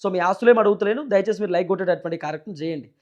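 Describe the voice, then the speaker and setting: A man with a high voice and a Telangana Telugu accent delivers political commentary to viewers at a quick clip. His manner is emphatic and repetitive, built on rhetorical questions. The sound is a little dull and lo-fi. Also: darkish tone. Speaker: news channel host and political commentator.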